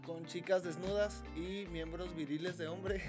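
A man's voice: sits high, steady tone, a little slow, music in the background. A man, a podcast guest who rambles through stories and laughs as he talks. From Mexico. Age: 20s. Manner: rambling storyteller, laughs while talking. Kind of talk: casual podcast chat.

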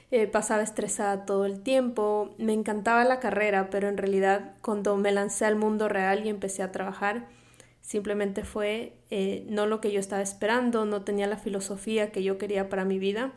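A woman's voice: medium pitch, flat delivery, fairly quick. A young woman with an Ecuadorian accent from Quito, hosting her own podcast in a chatty, upbeat way, laughing often and slipping English words into her talk. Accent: Ecuadorian Spanish from Quito. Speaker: podcast host and health coach. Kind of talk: solo podcast monologue.

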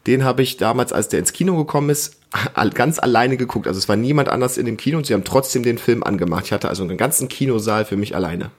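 A man's voice: mid-range voice, quick pace. A man co-hosting a casual family podcast, chatting as a parent does with a child.

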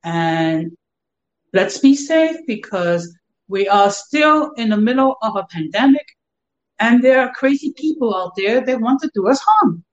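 An older man, a guest on a livestream, speaks in an earnest, measured and slightly formal way. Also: high voice, animated, lo-fi sound. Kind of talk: casual chat, earnest thanks.

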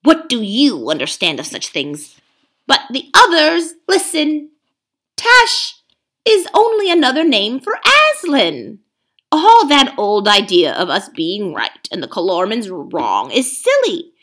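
A woman's voice: a little high, a bit bright, voice pushed up, full character voice. A woman reading aloud, voicing a scheming, domineering male ape who snarls and hisses at the other animals.

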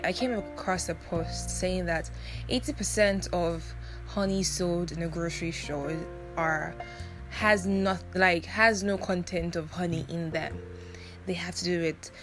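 A young woman talks through her own podcast in a fairly low voice. She muses aloud and often restarts her sentences. Music plays clearly under her speech. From Nigeria. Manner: reflective, many fillers and restarts. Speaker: podcast host. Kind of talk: casual solo podcast talk.

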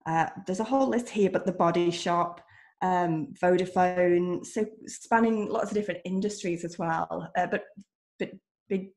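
A woman's voice: lowish voice, normal speed, darkish tone. A woman hosting an interview, introducing her guest's credentials in a friendly, admiring way. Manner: friendly, admiring, laughs easily. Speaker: interview host.

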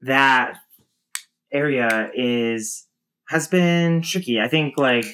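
A woman in her thirties with a low voice, talking casually and thoughtfully with many fillers and restarts. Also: extremely slow, fairly bright tone, slight background noise. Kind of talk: casual conversation.

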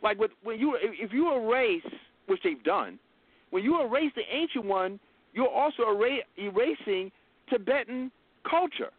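A man's voice: very high-pitched, lively intonation, moderate pace. A man hosting a talk podcast, giving opinionated commentary in an earnest, insistent way. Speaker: podcast radio host. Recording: dry, phone-like narrow band sound.

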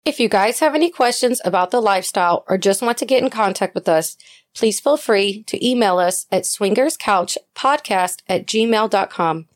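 A woman reading a show announcement in a friendly, even delivery. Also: medium pitch, moderate pace. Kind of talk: read announcement.

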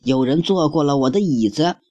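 A man voicing a stern papa bear who shouts and lectures, in a storybook reading. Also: on the high side, animated, normal speed, dry recording, muffled recording, pitched above the performer's own voice. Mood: angry. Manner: loud, shouting.

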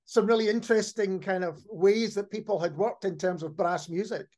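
A man's voice: sits high, fairly even intonation, moderate pace, darkish tone. A man hosting a casual podcast interview in a reflective, agreeable way. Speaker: podcast host.

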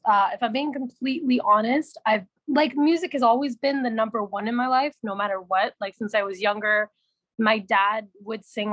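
A young woman from Canada with a naturally raspy voice, a musician guest talking casually and with animation. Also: on the high side, no echo, poor audio. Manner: animated storytelling, laughs often, swears. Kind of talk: casual chat.

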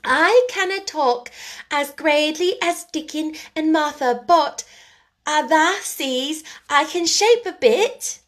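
A woman's voice: high-pitched, takes it slowly, voice pushed up. A woman voicing a young girl who speaks broad Yorkshire dialect with proud, playful delight. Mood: triumphant.

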